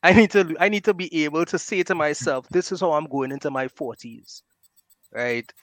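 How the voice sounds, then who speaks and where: Guest, man, late thirties, slightly higher pitch, earnest and reflective, dry recording. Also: moderate pace, fairly bright tone, dry recording. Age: late 30s. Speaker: podcast guest. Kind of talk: casual chat.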